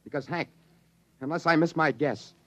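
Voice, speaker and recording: An actor playing a shaken, earnest rocket test pilot in a 1950s radio drama, halting and insistent. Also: male voice, fairly high pitch, a bit bright, no echo, natural voice. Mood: grimly insistent. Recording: dull, band-limited old radio recording.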